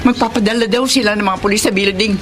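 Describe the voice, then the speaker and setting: A woman's voice: medium pitch, fairly even intonation, lightly acted. An actress playing a bossy, impatient woman in charge at an office, in a horror radio drama. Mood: businesslike, tense. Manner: brisk, commanding, urgent. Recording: loud music under the voice. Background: loud music.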